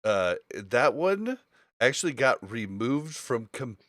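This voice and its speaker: A man's voice: mid-range voice, very animated, slow, fairly bright tone, no echo, clean studio sound. A man hosting a casual gaming podcast, explaining things in an informal, rambling way. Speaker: podcast host.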